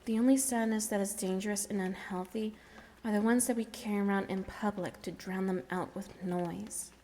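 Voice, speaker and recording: A firm, probing woman played by an actress in an audio drama, with a mid-pitched, dark and mellow voice. She is earnest and insistent, heard in long reverb. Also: natural voice. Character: firm, probing woman confronting a troubled man.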